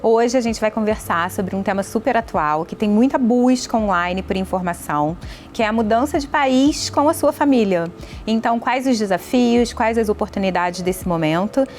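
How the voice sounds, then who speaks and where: A woman's voice: medium pitch, moderate pace, a little mellow, soft background music. A woman with a Brazilian accent hosting a podcast and interviewing a guest in a conversational way. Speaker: podcast host.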